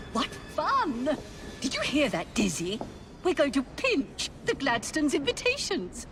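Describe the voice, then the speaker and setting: A haughty Victorian society lady in a video game. Her upper-class English voice is high and swooping, delivered slowly and grandly. A faint noise sits behind her. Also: huge pitch swings, full character voice. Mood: gleeful. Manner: grand, theatrical. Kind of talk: dramatic video game acting.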